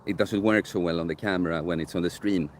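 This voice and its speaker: A young man with a deep bass voice chatting casually outdoors while livestreaming a Q&A, rambling and correcting himself as he thinks aloud. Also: steady tone, quick pace, a little hiss or hum. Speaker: coach streaming live Q&A. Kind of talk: casual live-stream chat answering viewer questions. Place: outdoors in a park near sunset. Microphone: phone camera held by the streamer.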